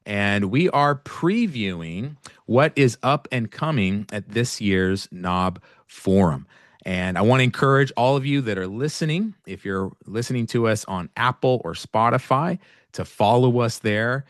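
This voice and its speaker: A man from Oakland hosting an interview podcast, asking measured, friendly questions and sometimes repeating words. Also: mid-range voice, dry recording, studio quality. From Oakland, California. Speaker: podcast host.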